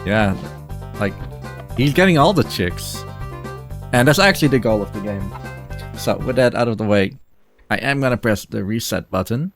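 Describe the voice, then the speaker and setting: A man's voice: fairly low pitch, expressive, moderate pace, pauses often, soft background music. A man giving casual live commentary as he presents his own video game speedrun.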